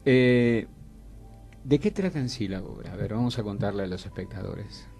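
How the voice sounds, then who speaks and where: A man's voice: lowish voice, moderate pace, creaky, darkish tone, faint music behind. A middle-aged or older man with an Argentine Rioplatense accent hosting a radio interview, attentive and often repeating short affirmations.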